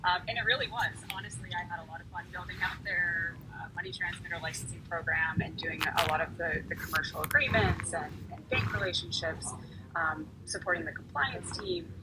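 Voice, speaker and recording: A woman's voice: lowish voice, a little fast. A woman lawyer speaking as a guest on a panel, telling her story in a chatty way and often repeating words as she goes. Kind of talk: casual panel conversation. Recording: noisy, hissy recording.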